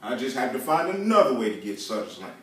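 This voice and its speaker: A man acting a stage monologue as a stubborn, scheming, folksy Southern farming man, arguing with drawn-out, emphatic words. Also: medium pitch, big pitch swings, a bit bright, voice pushed down. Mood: argumentative. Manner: drawn-out emphatic words, hums and chuckles, argumentative. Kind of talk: theatrical monologue in folksy vernacular. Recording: very echoey room, distant microphone.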